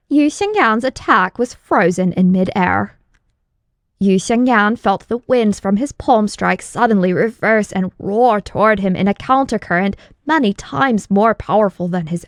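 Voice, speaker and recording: A woman reading scripted fantasy narration as an audiobook narrator, in a measured, dramatic storytelling manner. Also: medium pitch, dry recording, studio quality.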